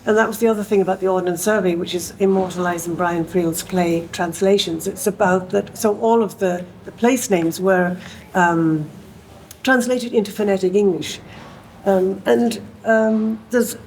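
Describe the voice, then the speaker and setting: A woman, speaking on a panel in a thoughtful way, with many pauses and fillers. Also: mid-range voice, a little mellow, slight background noise, rough recording. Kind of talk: panel discussion.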